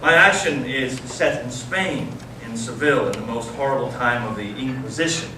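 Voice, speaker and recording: A man's voice: mid-range voice, normal speed, close to an everyday voice. Man acting intense intellectual brother, echoey stage. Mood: hushed storytelling. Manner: dramatic, swinging from hushed to impassioned. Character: Ivan, intense intellectual elder brother, anguished and mocking. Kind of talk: staged readers theater monologue and storytelling. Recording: echoey stage, distant mic, clear noise.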